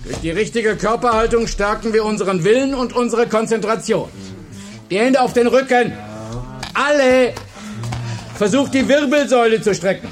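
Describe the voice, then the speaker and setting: An actor playing a strict history teacher in a radio play, giving clipped, commanding orders to a class. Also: male voice, very high voice, normal speed, soft background music. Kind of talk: German radio play acting.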